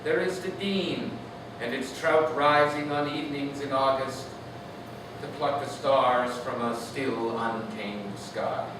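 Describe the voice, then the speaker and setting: A man speaking as a poet at a live reading, in a measured, deliberate manner. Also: mid-range voice, fairly even intonation. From Canada. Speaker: poet reading from own work. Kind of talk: live poetry reading with informal anecdotes. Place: echoey room at a live reading. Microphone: distant microphone. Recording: distant, echoey room with noisy background.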